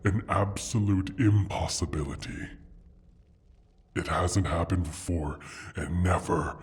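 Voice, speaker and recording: A man playing a proud, injured dragon in a fantasy audio roleplay, menacing and pompous, with a husky, breathy rasp. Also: low-pitched, exaggerated voice. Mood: scornful. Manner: pompous, disdainful, halting theatrical delivery.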